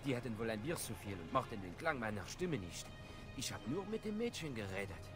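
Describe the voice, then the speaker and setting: French-Canadian miner, French accent, lively pitch, game music behind. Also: male voice, brisk. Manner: polite, grateful. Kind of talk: German video game dub.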